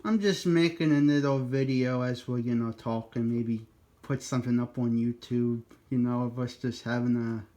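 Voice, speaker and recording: A man with a mid-pitched voice talks as a radio operator in a casual back-and-forth chat. His delivery is informal and chatty, peppered with radio jargon. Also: steady tone, moderate pace, dark tone. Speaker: amateur radio operator making a video.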